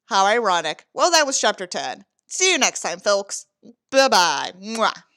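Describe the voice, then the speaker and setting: A woman's voice: medium pitch, sing-song, unhurried, fairly bright tone. A woman doing a sarcastic dramatic reading, with theatrical, mocking delivery and sweary commentary. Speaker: host reading a book aloud with asides.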